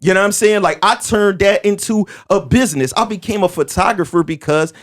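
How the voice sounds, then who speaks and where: A man in his forties hosting a casual podcast, talking with animated emphasis, street slang and stammered restarts. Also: high-pitched, brisk. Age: 40s. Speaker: podcast host.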